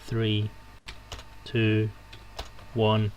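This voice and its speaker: A man's voice: lowish voice, completely flat delivery, very slow, darkish tone, clear, resonant tone, no echo, low-quality recording. Sweary man hosting a livestream. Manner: sweary, teasing. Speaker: stream host.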